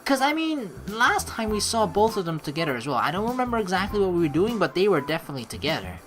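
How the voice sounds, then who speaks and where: A woman hosting a let's play, reading game narration aloud and adding casual, expressive, joking commentary. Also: medium pitch, fast talker. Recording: soft game music behind. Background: game music.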